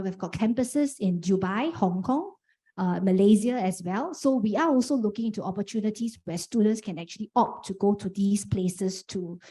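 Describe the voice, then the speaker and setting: A woman's voice: medium pitch, warm tone. A woman presenting a programme briefing, explaining step by step with many "okay" fillers. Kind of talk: information briefing.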